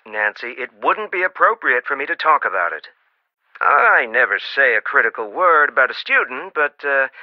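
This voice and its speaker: A protective, middle-aged archaeology professor: earnest, cautioning and expressive, with slightly muffled, dry audio. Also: male voice, mid-range voice, dry recording. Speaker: archaeologist mentor. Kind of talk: video game voice acting.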